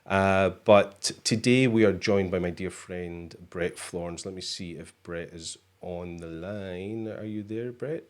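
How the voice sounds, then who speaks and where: A man's voice: low voice, a little slow, hardly any pauses. A Scottish man hosting a casual live show, chatty and joking, with plenty of fillers. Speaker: host. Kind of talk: casual livestream chat.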